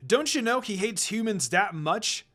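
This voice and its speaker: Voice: medium pitch, animated, unhurried, sharp tone, soft background music, pitched above the performer's own voice. A reader voicing a brash, possessive and jealous young male wolf demon in a fan fiction story. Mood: argumentative.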